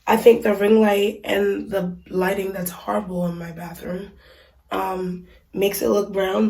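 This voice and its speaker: A woman's voice: medium pitch, gravelly, darkish tone. A woman with a stuffy voice from a cold, chatting casually through a hair unboxing review with lots of ums and likes. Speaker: beauty vlogger reviewing hair. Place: small bathroom. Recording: echoey room, mic at a distance.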